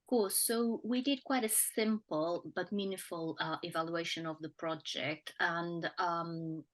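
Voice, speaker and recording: A woman researcher with a croaky voice, presenting project findings in a webinar, measured with fillers and throat clearing. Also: lowish voice, steady tone.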